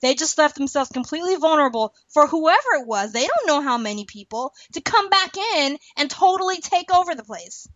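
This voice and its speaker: A woman with a fairly high, bright voice hosts a casual podcast. She is emphatic and opinionated, pressing her points with exasperated questions. The sound is close and dry. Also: sing-song, brisk, hardly any pauses, no echo, muffled recording. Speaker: podcast host.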